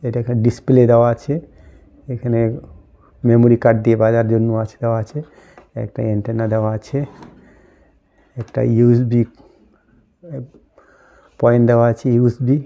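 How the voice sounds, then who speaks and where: A man's voice: medium pitch, completely flat delivery, moderate pace, pauses often, dark tone, dull audio. A man giving a casual, plainly explained product unboxing commentary, going item by item. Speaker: host of an unboxing video channel.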